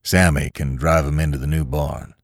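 A man voicing a stern, taciturn old farmer with gruff, terse rustic speech in an audiobook story. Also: a bass voice, level tone, moderate pace, no room sound, studio quality, voice pushed down. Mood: defiantly apologetic.

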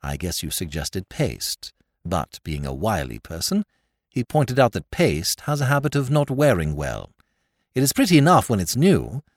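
A man's voice: lowish voice, no echo, exaggerated voice. A man voicing a confident young American who lays out an accusation coolly and sarcastically, in a dry recording. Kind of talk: audiobook character voice.

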